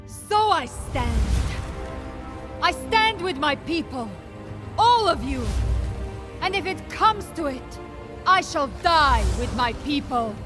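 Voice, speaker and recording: Defiant fantasy queen, high and measured, commanding oratory over game score. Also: lively intonation, over music. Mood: solemn, defiant. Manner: commanding, solemn, declamatory. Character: proud, defiant queen rallying her people. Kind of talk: fantasy game cinematic oration.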